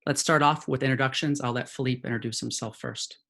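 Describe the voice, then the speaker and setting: A man's voice: mid-range voice, fairly even intonation, a little fast, gravelly, a bit bright. A man, head of sales, presenting a webinar in an upbeat, polished way over a video call.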